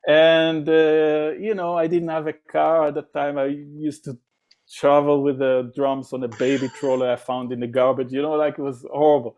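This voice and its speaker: A man's voice: fairly high pitch, little pitch movement, normal speed, a little mellow, highs cut off. A man from Israel, a drummer, telling stories in a casual interview, with many fillers and restarts. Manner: rambling, many fillers and restarts, acts out quoted voices.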